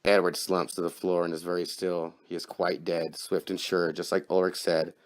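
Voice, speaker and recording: A man with a very low voice narrates a scripted horror story. His delivery is theatrical and wry, like a knowing host telling a macabre tale. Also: flat delivery, moderate pace.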